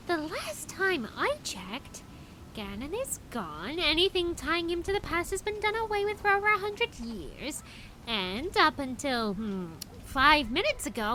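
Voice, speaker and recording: A woman playing a sly, bossy female confidante in a fan audio drama, teasing with drawn-out, pointed questions. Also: high-pitched, background noise.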